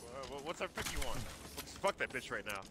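A man's voice: mid-range voice, sing-song, brisk, very noisy. A man teasing and provoking his friends in crude banter over voice chat during a game. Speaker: gaming teammate on voice chat.

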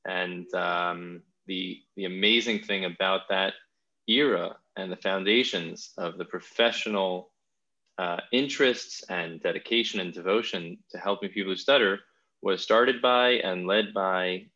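A man in his thirties hosting a podcast interview over a video call, speaking in a measured way with small hesitations. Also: low-pitched, pushed and bright, lo-fi sound. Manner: slow, measured, with filler hesitations. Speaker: podcast host. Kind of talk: interview questions. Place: home room. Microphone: over-ear headphones on a video call.

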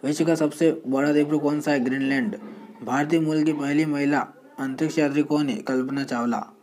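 Man reading quiz questions flatly. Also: on the high side, brisk, slight background noise. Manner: flat, steady reading with short restarts. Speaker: quiz presenter. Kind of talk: reading general knowledge questions and answers.

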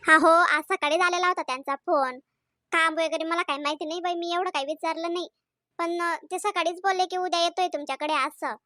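Woman voicing a shrill, chatty cartoon housewife. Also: fairly even intonation, fairly quick, pushed and bright, dry recording, clean studio sound. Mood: coaxing. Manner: chatty, insistent, scolding. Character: young married housewife, quick to take offense. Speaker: wife. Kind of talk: comedy cartoon voice acting.

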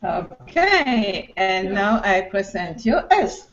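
Middle-aged woman host, slow directive delivery, dull video call audio. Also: mid-range voice, sing-song, a bit bright. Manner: directive, prompts guests. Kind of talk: hosting an online party call.

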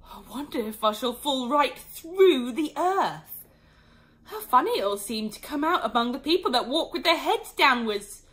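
A woman's voice: sits high, animated, moderate pace, a bit bright, pitched above the performer's own voice. A woman voicing a curious, chatty young girl who muses and wonders to herself in a storybook reading. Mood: pondering. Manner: wondering, musing, talking to herself.

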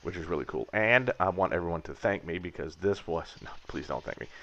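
A man in his thirties talks casually and wryly over his footage, drifting into mock rants. His voice sits very low with a gravelly fry. The recording sounds a little dull and lo-fi. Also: a little fast, sharp tone. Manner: rambling, wry, prone to mock rants. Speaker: aircraft builder vlogger.

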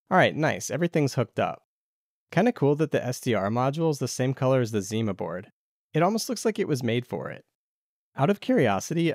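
A man's voice: mid-range voice, a little mellow, dry recording, studio quality. A man narrating a casual DIY tech tutorial as the video's host.

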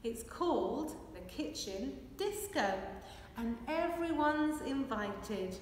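A woman reads a children's picture book in a high voice, very slowly and with a rhythmic, expressive delivery. She is recorded at a distance in a very echoey hall. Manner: expressive, measured rhythmic delivery while signing. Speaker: children's storyteller. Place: large hard-walled hall with brick and plaster. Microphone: camera a few metres away. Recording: echoey hall, distant microphone, faint noise.

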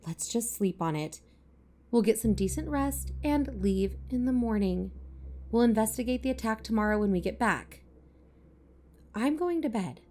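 High female voice, very animated intonation, actress as pragmatic blunt investigator, fiction podcast drama. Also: normal speed. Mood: exhausted, firm. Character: Stevie, pragmatic, blunt woman investigator partner.

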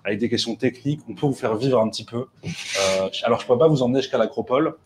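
A man's voice: medium pitch, noisy background. A man hosting a casual live stream interview in a living room, chatty and joking. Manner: fast, chatty, jokes. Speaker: host. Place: apartment living room at night, indoors.

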